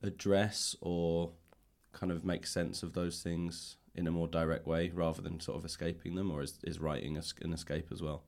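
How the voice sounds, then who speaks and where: Low-voiced man asking questions. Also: level tone, moderate pace, gravelly, few pauses, darkish tone. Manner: tentative, rambling phrasing with hedges. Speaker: podcast host, interviewer. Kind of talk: casual, exploratory interview questions. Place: indoors, upper floor of a public library.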